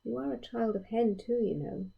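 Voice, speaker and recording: A woman voicing a gentle, encouraging island queen and mother in a storybook, murmuring and coaxing. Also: a little high, animated, normal speed, vocal fry, breathy edge, highs cut off, pitched above the performer's own voice, heavily acted. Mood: soft, comforting. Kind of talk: storybook character voice.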